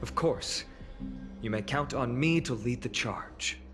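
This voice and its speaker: A man performs a confident warrior strategist in a fantasy game. His mid-range voice is earnest, formal and resolute. Music plays clearly behind him. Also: medium pitch, takes it slowly, close to an everyday voice. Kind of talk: dramatic game voice acting.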